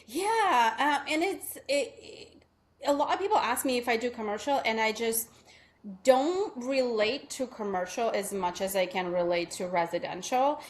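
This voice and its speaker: A woman's voice: a little high, moderate pace. A middle-aged woman from Russia with a Russian accent, a real estate agent chatting through an interview, telling stories with lots of fillers. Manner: chatty, storytelling with many fillers. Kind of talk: casual interview chat.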